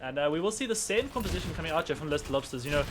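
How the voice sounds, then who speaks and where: A man casting a live esports match, with fast, playful, slangy banter. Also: a little high, expressive, noisy background. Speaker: esports caster. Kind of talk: live play-by-play commentary.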